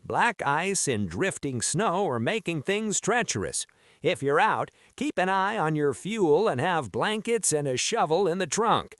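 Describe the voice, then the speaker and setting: A man's voice: a little high, sing-song, a little slow, a bit bright, no echo, clean studio sound. A man playing a businesslike, friendly local radio news anchor of the 1970s, reading news and weather.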